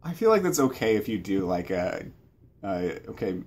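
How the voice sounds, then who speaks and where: A man talking casually about books as a channel co-host, in a hesitant, self-correcting way with a husky voice. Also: lowish voice, big pitch swings, moderate pace, darkish tone, slight background noise. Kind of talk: casual book chat.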